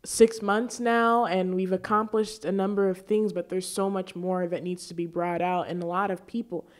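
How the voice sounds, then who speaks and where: A woman with a fairly low voice talks as a show host. Her delivery is conversational, like an on-air discussion. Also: flat delivery, moderate pace.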